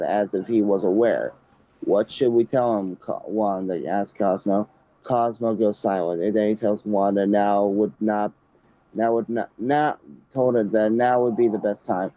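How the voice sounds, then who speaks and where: Brief: young man reading a story aloud with commentary, mellow voice, dry, dull home recording. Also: on the low side. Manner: stumbling, restarting read-aloud with laughs.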